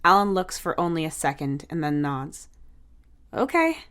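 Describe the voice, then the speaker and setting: A woman with a fairly low voice narrates an audiobook. She reads the story from a script, in descriptive storytelling prose. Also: normal speed, a bit bright, clean tone.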